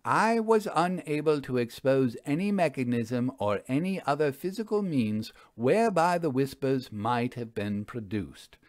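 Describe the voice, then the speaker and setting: A man's voice: medium pitch, expressive, a little slow, few pauses, dry recording, natural voice. A man voicing a composed, dryly formal woman ghost hunter with a reciting manner. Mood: reluctant, formal.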